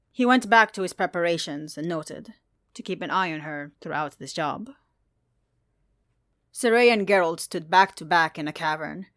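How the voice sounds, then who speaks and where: A woman with a fairly low voice narrates a piece of fantasy fiction. Her delivery is measured and dramatic, clearly read from a script. The sound is dry, without room echo. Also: a bit bright. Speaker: fan fiction podfic narrator.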